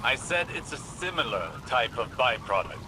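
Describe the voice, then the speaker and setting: An actor playing an exacting, haughty male mentor in a video game, with a crisp, didactic, impatient delivery. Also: medium pitch, expressive, moderate pace, noisy background, lightly acted. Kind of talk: video game mission dialogue.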